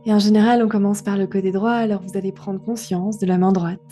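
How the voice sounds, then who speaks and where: Mellow, even-toned woman guiding meditation. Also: mid-range voice, fast, faint music behind. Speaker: yoga nidra guide. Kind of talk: guided relaxation meditation.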